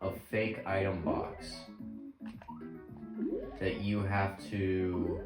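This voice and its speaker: A man's voice: sits low, flat delivery, drawn-out words. A man giving casual, rambling game commentary while losing his voice, often correcting himself mid-sentence. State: losing his voice, clearing throat. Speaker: gaming channel host. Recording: echoey room with game music. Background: game music.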